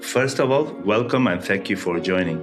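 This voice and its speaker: A man hosting an interview, asking questions in a polite, measured way with formal phrasing. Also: on the low side, animated, over loud music. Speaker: podcast host.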